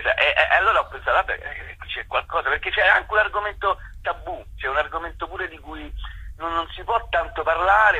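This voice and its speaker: A man giving a casual interview as a guest, halting and often repeating words as he explains himself. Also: fairly high pitch, sharp tone, breathy edge, rough recording. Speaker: playwright and performer, interview guest.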